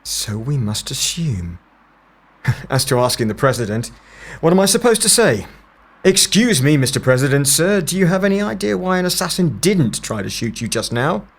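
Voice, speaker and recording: A husky male investigator acted by a man in an audio drama. He is stern and sardonic, with clipped phrases and a pitch that moves around a lot. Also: sing-song, moderate pace, exaggerated voice. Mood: dry, sardonic. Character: stern, dry, impatient male otherworldly investigator. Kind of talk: scripted audio drama acting.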